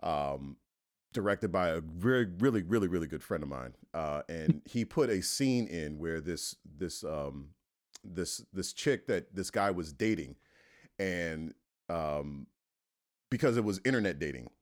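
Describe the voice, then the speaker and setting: A man hosting a podcast interview with a Philadelphia accent, chatting excitedly, laughing often and slipping into goofy voices. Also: deep voice, a little slow, dry recording, studio quality. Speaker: podcast host. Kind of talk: casual interview chat.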